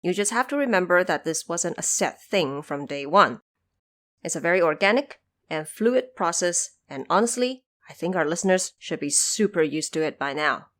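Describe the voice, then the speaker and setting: A woman hosting a podcast, explaining background notes in a measured, instructive way with conversational asides. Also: fairly low pitch, lively intonation, a bit bright. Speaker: podcast host. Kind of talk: explanatory production-notes narration.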